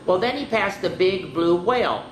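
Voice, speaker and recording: A woman reading picture books aloud to children, stopping for chatty asides to her young listeners. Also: moderate pace. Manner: animated, switches into higher voices for characters. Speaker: children's storyteller. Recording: echoey room with faint ambient noise.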